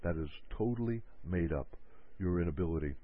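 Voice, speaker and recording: A man's voice: low voice, animated, brisk, dry recording. A man hosting a motivational self-help talk on the radio. He repeats his points and acts out people's worried inner voices. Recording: narrow phone-like radio audio.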